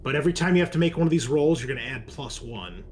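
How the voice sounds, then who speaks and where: Male game master, casual and halting, pausing for weight, slight background noise. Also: medium pitch, animated, darkish tone. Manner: halting, deliberate pauses, casual swearing. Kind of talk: casual narration of a tabletop roleplaying game.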